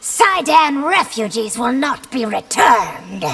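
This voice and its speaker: An actress playing a proud, fierce young empress in a video game, commanding and indignant. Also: female voice, a little high, sing-song, slow, fairly bright tone, heavily acted.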